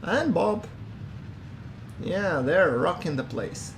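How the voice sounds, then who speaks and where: A man giving relaxed, chatty commentary over a space-game playthrough, with a soothing voice and self-deprecating asides. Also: high-pitched, slow pace, gravelly, a little hiss or hum, low-quality recording. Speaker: gameplay commentator. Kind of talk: casual let's-play commentary.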